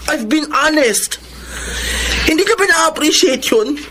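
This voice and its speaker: A man's voice: very high voice, lively intonation, unhurried, noisy background. An actor playing a defiant, aggrieved son in his twenties in a Filipino radio drama. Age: 20s. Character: defiant, aggrieved 25-year-old younger son.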